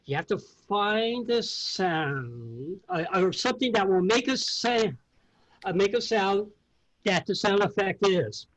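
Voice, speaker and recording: A middle-aged man, a theatre sound effects artist, explaining informally in a halting way, searching for words. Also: high voice, lively intonation, fairly bright tone, dull audio.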